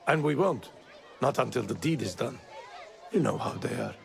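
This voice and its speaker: An actor playing a tired older man in a video game, a gruff but fatherly go-between. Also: mid-range voice, lively intonation, normal speed, some chatter behind. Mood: stern, fatherly. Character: weary old male fixer, gruff but fatherly. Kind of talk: cinematic video game acting.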